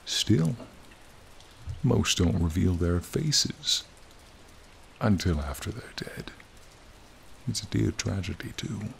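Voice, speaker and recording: A man voicing a charming, courtly male frost dragon in an ASMR roleplay, breathy and deliberate, often sinking to a whisper. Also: sits low, full character voice. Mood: charming, friendly. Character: ancient-sounding male frost dragon, charming, courtly, menacing turned friendly. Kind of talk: ASMR roleplay, dramatic monologue. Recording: steady rain-like ambient noise.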